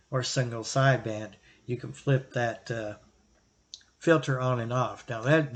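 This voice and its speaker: A man hosting a hobby video, explaining gear in a casual, plain-spoken, unscripted way with halting pauses. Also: mid-range voice. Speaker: radio hobbyist host answering viewer question. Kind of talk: casual explanatory product talk.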